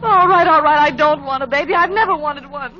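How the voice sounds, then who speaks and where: An actress playing a selfish, money-hungry wife, fearful and defiant, with a sharp, argumentative delivery in an old-time radio drama. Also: female voice, high-pitched, a little fast, highs cut off, close to an everyday voice. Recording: band-limited vintage radio recording with music.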